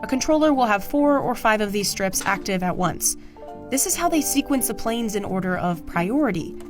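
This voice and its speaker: A woman's voice: medium pitch, lively intonation, normal speed. A woman reading scripted documentary narration in an explanatory manner. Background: background music.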